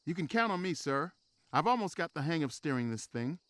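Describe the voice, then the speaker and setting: An actor playing an eager, green young lieutenant in a video game cutscene, nervous but willing. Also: male voice, a little high, sing-song, brisk, a bit bright, no echo. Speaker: trainee tank crewman.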